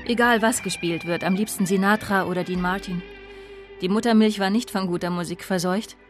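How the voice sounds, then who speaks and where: A woman's voice: mid-range voice, fairly even intonation, a little fast, faint music behind, lightly acted. An actress playing a disillusioned woman of twenty-nine, narrating her life soberly in a radio drama. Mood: bitter, reflective. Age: young adult. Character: disillusioned 29-year-old daughter of a prostitute, coolly confessional.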